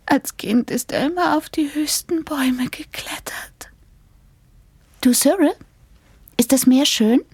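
A woman's voice: high-pitched, takes it slowly, pauses often, a little mellow, no room sound. A woman playing a weary, frightened, affectionate young woman in an intimate radio play, half-whispering. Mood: dreamy, fearful.

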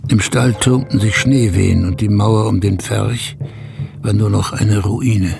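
An actor playing a weary, brooding old herdsman thinking aloud in a radio play, with a gravelly voice. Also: fairly low pitch, steady tone, a little slow. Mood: bitter. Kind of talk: German radio play, inner monologue. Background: music.

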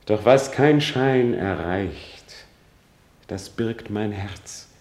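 An actor playing a grieving, bitter young prince in a classical radio drama, with deliberate declamation that moves between hushed and forceful. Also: male voice, on the low side, lively intonation. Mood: bitter, restrained. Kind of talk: classical German stage-verse radio drama acting.

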